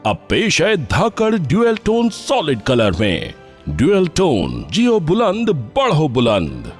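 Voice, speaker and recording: A man delivering scripted commercial and narration voice-over in a polished, deliberate, dramatic way. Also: fairly high pitch, wildly swooping pitch. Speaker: voice-over artist. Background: music bed.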